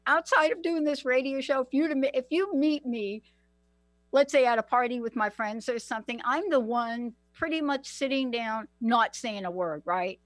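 Effusive female radio host, higher voice, dry close-miked sound. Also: moderate pace, no echo. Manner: animated, effusive, repeats herself. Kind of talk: radio interview.

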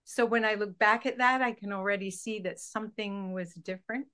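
A woman with a Scottish accent from near Glasgow, a guest on a radio show, recounting her life in a gentle, reflective way. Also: medium pitch, fairly quick. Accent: Scottish, Glasgow area. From Scotland. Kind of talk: interview storytelling.

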